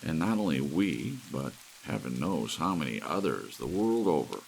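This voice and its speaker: Casting: narrator as intent elderly male scientist, audiobook sci-fi, lively swings of pitch, lecturing and sure of himself. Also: moderate pace, vocal fry, a little hiss or hum, exaggerated voice, poor audio. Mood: probing. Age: 60s. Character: brisk, brainy elderly male scientist, intent and self-assured.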